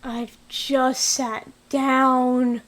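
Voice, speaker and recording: A woman's voice: high-pitched, little pitch movement, drawn-out words, a bit bright, full character voice. A woman voicing a grumpy, dry young apprentice cat in an amateur audio story. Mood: grudging.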